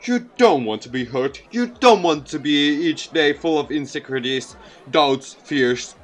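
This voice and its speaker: A popular, folksy mayor, as a man reads him out in a visual novel. The voice is pitched up and oratorical, jovial yet earnest and encouraging, as in a public address. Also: fairly bright tone. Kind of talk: visual novel speech read-aloud.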